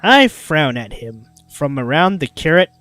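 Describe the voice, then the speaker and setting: This is a livestreamer reading a story aloud with a very animated, swooping delivery. The pace is unhurried and the sound is close and dry, with clear music playing underneath. The manner is casual, with goofy asides slipped between the narration. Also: medium pitch, dry recording. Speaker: streamer reading a visual novel aloud.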